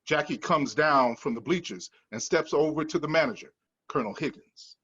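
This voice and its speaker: A man's voice: fairly high pitch, moderate pace, a bit bright, husky, lo-fi sound. A man reading the stage directions of a screenplay at a table read in a matter-of-fact manner.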